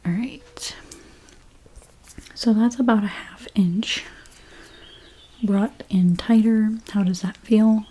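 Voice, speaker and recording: A woman doing a soft-spoken ASMR role play as a dressmaker, gentle and unhurried, her breathy voice often slipping into a whisper. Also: medium pitch, fairly even intonation, slight background noise. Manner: gentle, unhurried, reassuring explanations to a client. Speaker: ASMR creator role-playing a dressmaker at a fitting.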